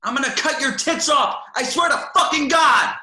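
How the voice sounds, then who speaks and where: Reader plays a vicious teen thug, shouting; sharp tone, echoey room. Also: sits high, moderate pace, voice pushed up. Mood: yelling. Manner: snarling, shouting threats. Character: vicious teenage bully boy, knife-wielding and savage. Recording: roomy echo.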